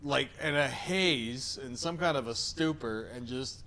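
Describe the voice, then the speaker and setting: A man's voice: mid-range voice, measured pace, bright, forceful tone. A man with a soothing voice hosting a tattoo reaction show, giving frank, opinionated critiques with casual swearing.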